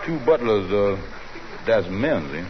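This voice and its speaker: An actor playing a scheming, smooth-talking middle-aged husband who hems and haws, in 1940s radio comedy. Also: male voice, on the low side, sing-song, a little slow. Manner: wheedling, hesitant with many uhs. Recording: dull old radio broadcast with hiss.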